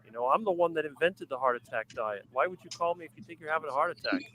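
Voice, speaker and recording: Animated guy with a bright voice, telling stories on a call. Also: on the high side, quick pace, low-quality recording. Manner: animated storytelling. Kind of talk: casual group chat.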